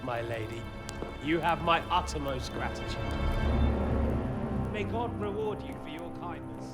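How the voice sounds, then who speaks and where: A man's voice: a little high, animated, measured pace. An actor playing a pious, formal medieval nobleman who speaks with solemn, theatrical courtesy in a video game. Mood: grateful, pleading. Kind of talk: dramatic video game acting. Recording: loud music over the voice.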